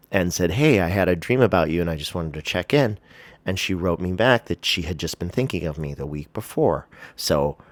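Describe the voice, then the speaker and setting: A man's voice: deep voice, dry recording. A man with a congested, stuffy nose chats casually as a vlog host, rambling and hesitant in a self-deprecating way, close to the mic. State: congested, sniffling. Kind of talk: casual personal chat to camera. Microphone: close to the face.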